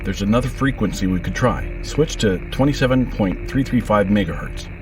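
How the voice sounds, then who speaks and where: A man's voice: fairly low pitch, lively intonation, fairly quick, recorded from a distance, music in the background, lightly acted, poor audio. A man playing a resolute, urgent father in a sci-fi audio drama, terse and commanding as he speaks. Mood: insistent. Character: urgent, resolute father calling by radio for daughter.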